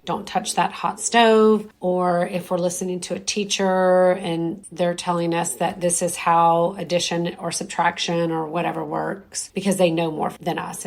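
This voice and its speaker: Middle-aged woman host, hoarse and strained, lowish voice, chatty and reflective. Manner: reflective, conversational, many fillers. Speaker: podcast host. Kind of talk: solo podcast talk.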